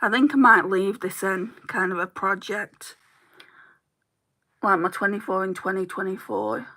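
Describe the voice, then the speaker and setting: A chatty British woman with a bright, forceful voice talks through her makeup on a livestream. She rambles from product to product and greets viewers by name. Her throat is starting to go a little sore. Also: medium pitch, fairly even intonation, a little slow. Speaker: beauty vlogger, livestream host. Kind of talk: casual chat while doing makeup live.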